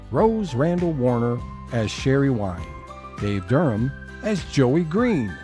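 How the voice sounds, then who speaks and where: A man's voice: on the high side, darkish tone. A man hosting an audio theater show, reading scripted closing credits as its announcer. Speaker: announcer, host. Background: light music.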